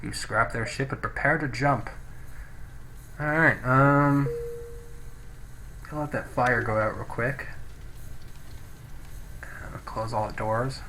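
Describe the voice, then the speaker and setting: A man's voice: mid-range voice, steady tone, slow pace, long pauses, pushed and bright. A man doing casual game commentary, thinking aloud with some hesitation and reading on-screen text out, recorded on a poor, noisy microphone. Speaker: gameplay video host. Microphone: low-quality microphone.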